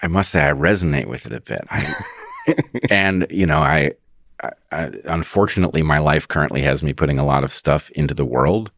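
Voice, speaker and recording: Man w/ a super deep voice, guest on a podcast, thoughtful, explains stuff clearly, dry sound. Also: a little fast, fairly bright tone, dry recording. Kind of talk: casual long-form conversation.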